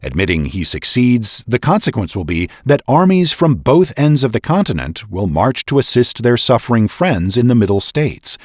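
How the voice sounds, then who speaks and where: A man's voice: medium pitch, big pitch swings, normal speed, hardly any pauses, dry recording, clean studio sound. A man giving a dramatic reading of a historical pamphlet as formal oratory, with emphatic, rhetorical delivery.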